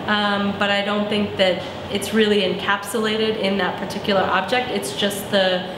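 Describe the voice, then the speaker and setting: A young woman from Arizona answering interview questions thoughtfully, often pausing with "um" as she searches for words. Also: mid-range voice, little pitch movement. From Arizona, USA. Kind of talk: reflective personal interview. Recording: echoey room with clear background noise.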